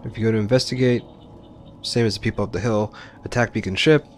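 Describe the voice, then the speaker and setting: A man's voice: on the low side, fairly even intonation, fast talker. A man giving casual, informal let's play commentary, explaining his choices as he plays. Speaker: gaming video host.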